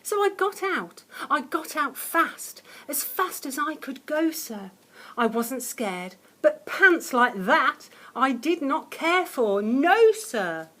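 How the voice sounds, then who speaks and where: A woman reading a children's picture book aloud as a teacher would, expressively and dramatically, with hushed suspense and swells of emphasis. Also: high-pitched, big pitch swings, takes it slowly. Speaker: primary school teacher reading a story to children.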